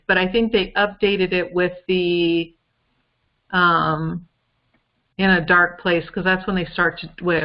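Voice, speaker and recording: A woman co-hosting a casual case discussion, thinking aloud in a halting way on muffled call audio. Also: on the low side.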